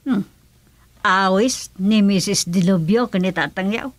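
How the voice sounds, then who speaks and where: An actress playing a nagging, inquisitive village housewife in a radio comedy drama, with an Ilocano accent and a complaining manner. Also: female voice, medium pitch, a little slow, dry recording, lightly acted. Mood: suspicious. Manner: questioning, complaining.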